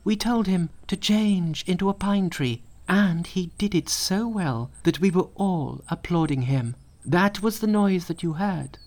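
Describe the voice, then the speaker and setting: A man's voice: high voice, a little slow, a little mellow, voice pushed up. A man voicing curious young male disciples in a storybook reading, raised and eager. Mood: apologetic.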